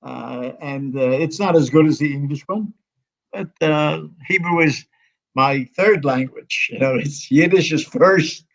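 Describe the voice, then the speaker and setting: A man in his nineties, an interview guest from the United States, reminiscing in a halting, rambling way full of restarts and fillers. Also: a little high, creaky, low-quality recording. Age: 90s. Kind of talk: conversational reminiscing.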